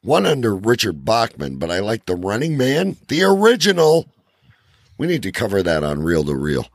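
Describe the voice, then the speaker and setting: Guy podcast host, low husky voice, super up and down, rambles and stumbles around casually. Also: wildly swooping pitch, normal speed, no echo, studio quality. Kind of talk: casual chat.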